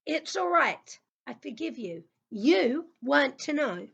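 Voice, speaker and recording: A woman's voice: sits high, big pitch swings, takes it slowly, creaky, a bit bright, lo-fi sound, heavily acted. A woman playing a bold, adventurous young boy in a children's audio play, eager and confident. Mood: confident, commanding.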